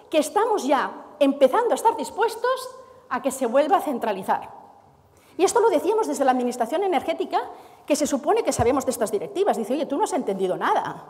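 A middle-aged woman talks to a conference crowd in a large hall, moving around the stage as she speaks. Her voice sits high and swings widely in pitch. The hall adds a long reverb to every word. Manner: animated, gesturing while walking the stage. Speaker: speaker from the energy administration. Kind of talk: conference talk.

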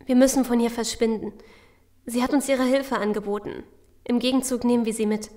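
An actress playing a determined, caring survivor in an audio drama, urgent and persuasive. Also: female voice, on the high side, flat delivery, brisk, mellow, natural voice. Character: determined, caring female survivor pushing escape. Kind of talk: German audio drama acting.

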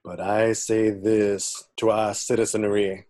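A man acts a grave minister delivering a formal address to the nation. The voice is low and very even, and the words come slowly with solemn, measured weight. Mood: solemn resolve. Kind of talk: formal political speech in a table read.

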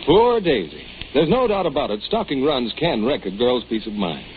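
A man's voice: mid-range voice, big pitch swings, moderate pace. A man announcing in a scripted 1940s style for sponsored radio. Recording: tinny, lightly noisy 1940s radio recording.

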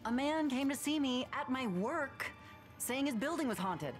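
A woman's voice: sits high, expressive, moderate pace. A woman playing a flustered, skeptical scientist in a comedic game cutscene, indignant and pleading. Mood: reluctant. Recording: music under the dialogue. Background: music.

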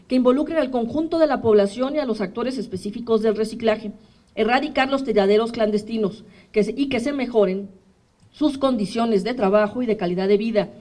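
A woman in her thirties with a Mexican accent, reading a formal statement at a podium microphone for a human rights commission, measured and steady. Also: a little high. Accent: Mexican Spanish. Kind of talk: formal statement read aloud. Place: indoor press room, at a podium.

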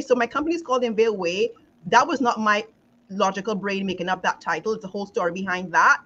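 A woman's voice: medium pitch, a bit bright. A middle-aged woman from Trinidad with a Trinidadian accent, a leadership coach talking reflectively on a video call, restarting as she finds her words. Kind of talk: casual podcast conversation. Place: home office with pictures on wall. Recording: slightly dull video call audio.

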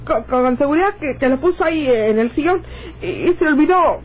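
An actress with a northern Mexican accent playing a naive, anxious young country sweetheart with rustic speech in a radio drama. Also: female voice, high-pitched, expressive, fairly quick. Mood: flustered, nervous. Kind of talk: old-time radio drama acting. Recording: tinny, noisy old radio recording.

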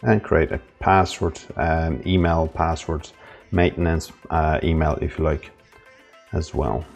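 A man's voice: rumbling low, fairly even intonation, unhurried, gravelly, muffled recording, faint music behind. A man from Ireland casually walking through an intercom setup step by step, with a few fillers. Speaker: installer demonstrating setup. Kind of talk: casual tutorial narration.

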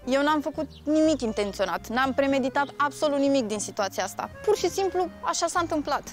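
A woman's voice: high-pitched, lively intonation, faint music behind. An actress playing a young woman from a poor family who pleads and defends herself. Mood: pleading.

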